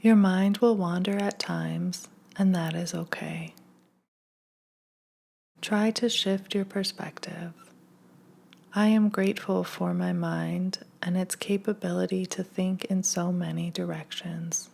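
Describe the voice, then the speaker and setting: Female meditation guide: lowish pitch, gravelly fry at phrase ends, gentle and hushed scripted read with unhurried gaps. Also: fairly even intonation. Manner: gentle, soothing, hushed delivery with long pauses.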